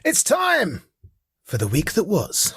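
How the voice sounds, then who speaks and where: A man's voice: sits high, huge pitch swings, slow pace. A man co-hosting a podcast in casual chat, giving opinions informally and repeating phrases for emphasis. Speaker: podcast co-host.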